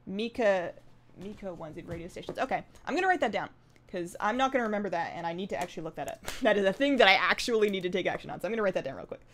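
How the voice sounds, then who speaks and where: A woman's voice: medium pitch, expressive, rapid-fire, a bit bright, a little hiss or hum. Bubbly young woman streamer chatting casually. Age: 20s. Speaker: game streamer. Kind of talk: casual live stream chat while gaming.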